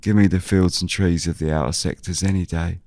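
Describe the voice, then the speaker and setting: A genial older countryman played by a male actor in an audio drama, heard close and dry. His low voice is folksy and unhurried, chatting cautiously like a local who knows the land. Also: fairly even intonation, a little mellow, no echo. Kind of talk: audio drama character dialogue.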